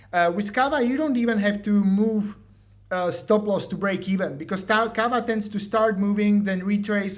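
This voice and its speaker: A man with a Slovak accent walking through trading charts in a casual, joking way, mocking himself and filling his talk with "like" and "you know". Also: sits high. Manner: joking, self-mocking, filler-heavy. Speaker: trading educator. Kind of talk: casual screen-share walkthrough.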